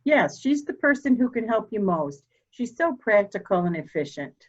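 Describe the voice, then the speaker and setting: A woman playing a proud, formal, candid elderly mother in a murder mystery reading, speaking deliberately. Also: medium pitch, very animated, highs cut off. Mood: composed, candid. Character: proud, cold old mother, candid and slightly deaf.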